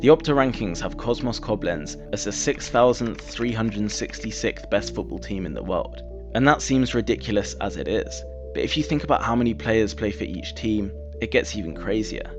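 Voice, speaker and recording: A man giving a measured scripted narration about football rankings. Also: on the low side, expressive. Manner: measured, explanatory. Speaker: documentary narrator. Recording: dull clip audio under music.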